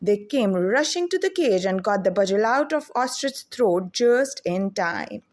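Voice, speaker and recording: A woman storyteller reading a children's picture book aloud in an expressive, deliberate way. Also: mid-range voice.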